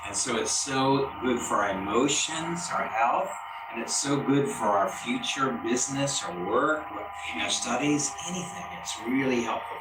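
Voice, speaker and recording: A man's voice: mid-range voice, normal speed, over music. Man teaching a class, echoey and distant. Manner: repetitive, drills students with call-and-response. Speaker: English teacher. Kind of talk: classroom lesson. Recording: echoey classroom from far off, noisy.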